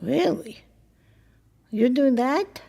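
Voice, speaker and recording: An actress playing a wry, wistful married woman in an audio drama, chatty and hesitant, with a creaky voice. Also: high-pitched, expressive, drawn-out words, mellow, full character voice. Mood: curious. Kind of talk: naturalistic audio drama acting.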